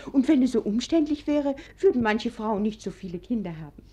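A woman's voice: fairly high pitch, animated, brisk, dark tone, slightly rough. An actress playing a naive, girlish, insistent young bride in a 1940s radio play. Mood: eager.

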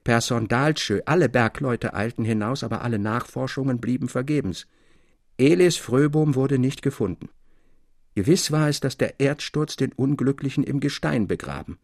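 Gravelly male literary narrator, dry sound. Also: medium pitch, normal speed, a little mellow, no echo. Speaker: narrator, reader of literary tale. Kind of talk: expressive literary audiobook narration. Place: dry studio.

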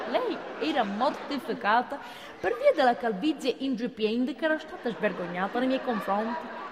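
An actress playing a simple, chatty cleaning woman, rambling earnestly and colloquially in a comic theatre monologue. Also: extremely high pitch. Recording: echoey theatre, audience and background noise.

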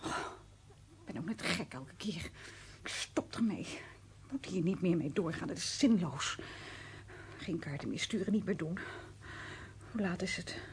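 A woman's voice: lowish voice, animated, measured pace, long pauses, slight background noise. An actress playing a weary, firm woman in a radio drama, curt and exasperated as she fends someone off. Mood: weary, muttering. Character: weary, firm woman fending off an insistent ex-lover.

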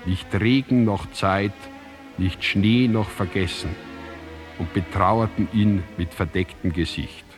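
An actor as the grave narrator of an ancient tragedy in a radio drama, reciting in a measured, solemn, declamatory way. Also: male voice, low voice. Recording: music under the voice. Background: music.

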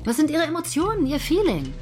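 An actress playing a brisk woman scriptwriter in a radio drama, pitching ideas to the team. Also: wildly swooping pitch, darkish tone, faint music behind. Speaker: writing team member.

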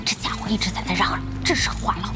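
An actress playing a feisty, hot-tempered young woman gamer in an audio drama, outspoken and quick to exclaim. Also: mid-range voice, over music, natural voice. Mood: surprised, gossipy.

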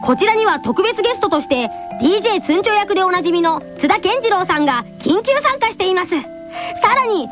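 An earnest, cheerful little schoolboy reading radio news in anime style. The voice is extremely high and lively, over soft background music. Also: female voice, quick pace, lo-fi sound. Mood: chirpy, earnest. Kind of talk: in-character scripted radio announcement.

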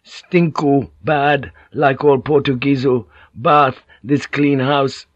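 A man's voice: mid-range voice, fairly even intonation, slow, no echo, lo-fi sound, pitched above the performer's own voice. A man voicing a polite but firm Japanese village headman in an audiobook, with a Japanese accent and clipped, broken English phrases. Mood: insistent.

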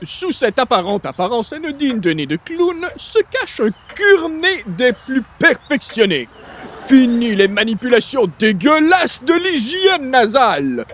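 A man playing an excitable, boastful gadget peddler in a radio comedy, with theatrical sales patter and a Quebec French accent. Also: very high voice, slight background noise, full character voice. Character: excitable, boastful male gadget peddler pitching silly inventions. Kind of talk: old-time radio comedy acting.

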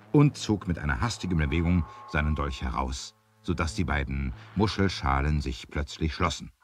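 Male narrator for vintage adventure radio play; very low register, highly expressive range, deliberate dramatic delivery. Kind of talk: dramatic scripted storytelling, 1970s radio play.

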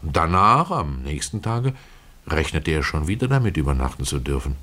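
A very low, bass male voice a poor, kindly Russian caretaker in a radio play. He tells his own story in a folksy, ruminative manner, pausing for rhetorical asides to his listener. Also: very animated, bright, forceful tone, heavily acted. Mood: reminiscing. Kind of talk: dramatic first-person literary storytelling, radio play.

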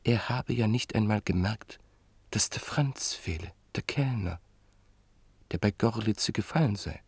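Gravelly male narrator, dry and close. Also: fairly low pitch, darkish tone, no echo. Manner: measured storytelling, reporting speech indirectly. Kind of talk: scripted literary narration in a radio drama.

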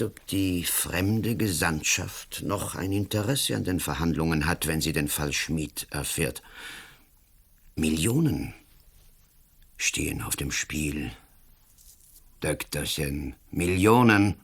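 Pompous radio play politician, super deep gravelly voice, patronizing, short punchy phrases. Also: male voice, lively intonation, slow, fairly bright tone, full character voice. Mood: domineering, pompous. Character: blustering, patronizing male politician, a national councillor. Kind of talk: German radio play acting.

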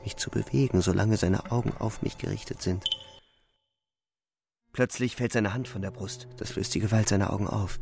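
An actor playing an anguished young soldier in a radio play, delivering a grave, halting, intense first-person monologue. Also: male voice, fairly low pitch, vocal fry. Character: anguished young male soldier recounting a killing in a shell hole. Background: music.

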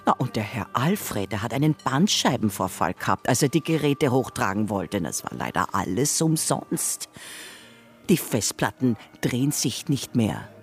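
A woman's voice: very deep voice, very animated, normal speed, noisy background, heavily acted. An actress with an Austrian accent playing an earnest, politely solemn spokeswoman of a fringe commune in a radio drama. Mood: matter-of-fact regret.

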